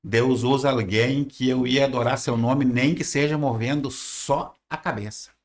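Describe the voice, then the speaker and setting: A man's voice: mid-range voice, steady tone, a little slow. A man in his sixties from southern Brazil, a pastor telling his life story in plain, rambling rural speech. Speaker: pastor and musician guest. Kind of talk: casual interview answers.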